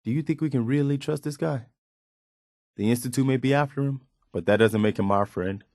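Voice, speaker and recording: A man's voice: mid-range voice, a little fast, a little mellow, no room sound, clean studio sound, lightly acted. A man playing an earnest, idealistic and loyal militia soldier in a video game, speaking plainly and conversationally. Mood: wary, incredulous. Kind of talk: video game companion dialogue, naturalistic acting.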